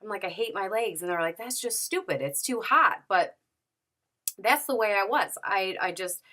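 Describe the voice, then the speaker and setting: A woman's voice: mid-range voice, animated, moderate pace, pushed and bright. A young adult woman vlogger telling a candid personal story to camera, chatty and full of fillers. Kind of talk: casual story-time monologue. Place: at home, sitting.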